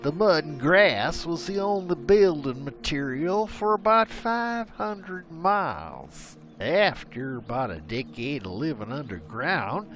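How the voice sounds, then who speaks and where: A man's voice: a little high, creaky, fairly bright tone. A man narrating a frontier legend as a scripted story, with rustic, old-timey storyteller phrasing.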